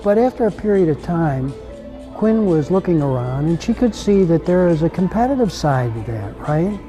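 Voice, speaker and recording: An older man in a casual interview, telling stories in a relaxed, chuckling way. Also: on the high side, normal speed, faint music behind, rough recording. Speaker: interviewee, husband.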